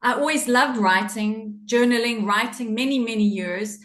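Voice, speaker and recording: A woman's voice: fairly high pitch, moderate pace, fairly bright tone, roomy sound. A middle-aged woman with a South African accent, a podcast guest telling her personal story in an earnest way, laughing often. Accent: South African English.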